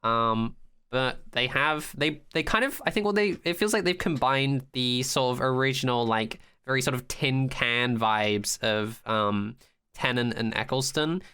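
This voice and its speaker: A podcast host chatting casually about a TV show in an animated, sweary way, often acting out quotes and sounds. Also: mid-range voice, unhurried, clean tone. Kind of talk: casual chat, fan review of a TV episode.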